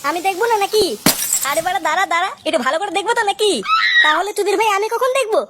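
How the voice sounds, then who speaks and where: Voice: very high voice, racing, heavy background noise. A voice actor playing a sneaky, cursing young village rascal in a comedy cartoon. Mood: impatient, irritated. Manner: crude, cursing, cheeky. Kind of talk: exaggerated comic cartoon dubbing.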